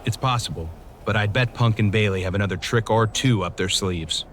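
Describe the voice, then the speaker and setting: A man playing a steady, wary wrestling teammate in a video game cutscene. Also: on the low side, a little fast, slight background noise, lightly acted. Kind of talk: scripted game cutscene dialogue.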